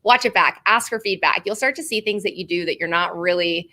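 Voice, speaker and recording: A woman's voice: fairly low pitch, fairly quick, bright, forceful tone. A woman in her thirties, a guest on an interview show, chatting casually and saying "like" a lot, laughing easily. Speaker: guest, video marketing expert.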